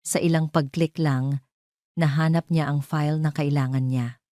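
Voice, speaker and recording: A woman with a Filipino accent narrating a scripted romance drama in deliberate, dramatic short phrases. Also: low-pitched, fairly even intonation, mellow, dry recording, clean studio sound. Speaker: storyteller.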